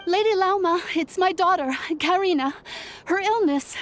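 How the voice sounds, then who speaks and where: A woman's voice: very high-pitched, moderate pace, a little hiss or hum. A woman playing a frantic, worried parent in a video game, pleading for help with urgent distress. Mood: panicked, pleading. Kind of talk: scripted video game dialogue.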